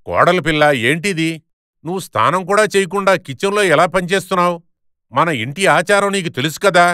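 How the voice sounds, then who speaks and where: A high, bright male voice with crisp clarity, playing a bossy middle-aged father-in-law as acted by a man in a cartoon moral story. He is demanding and scolding, a stickler for household custom. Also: a little fast, dry recording, studio quality. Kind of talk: animated moral-story voice acting.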